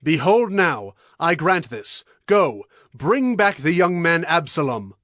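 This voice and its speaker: A man's voice: on the high side, very animated, slow, a bit bright, slight background noise, heavily acted. An actor playing a stern, authoritative king in a dramatized Bible recording. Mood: stern, decisive. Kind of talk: dramatized Bible audio acting.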